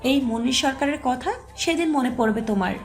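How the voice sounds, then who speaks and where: A high-pitched, bossy neighbour woman played by a woman in an audio story drama. She scolds and lectures with sarcastic, needling questions. Soft music runs behind her. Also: animated, brisk. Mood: angry warning.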